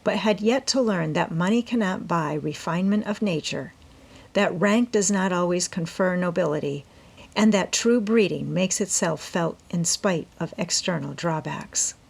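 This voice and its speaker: Creaky-voiced woman reading a classic novel. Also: fairly low pitch, moderate pace. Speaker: audiobook narrator. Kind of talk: scripted literary narration.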